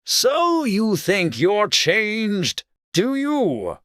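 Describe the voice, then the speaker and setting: A man voicing a stern, contemptuous caterpillar in a dramatized audiobook, with curt, haughty, drawn-out phrasing. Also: sits high, wildly swooping pitch, a bit bright, dry recording, clean studio sound, heavily acted. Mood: probing, stern. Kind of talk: dramatized audiobook character acting.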